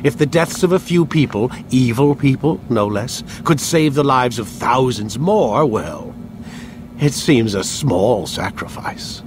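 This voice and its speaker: Condescending captor with grand theories male actor, deliberate, swooping delivery, game cutscene with noisy ambience. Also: mid-range voice, expressive, natural voice. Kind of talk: video game cutscene acting. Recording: clear ambient hum and noise.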